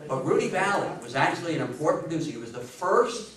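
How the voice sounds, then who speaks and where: A man's voice: a little high, sing-song, fast talker, fairly bright tone. A man in his seventies giving an informal talk to a room, telling anecdotes animatedly and repeating phrases for emphasis. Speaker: speaker giving a history talk. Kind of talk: informal lecture with anecdotes and audience questions. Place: meeting room before a seated audience. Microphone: far camera. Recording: roomy echo, distant camera microphone.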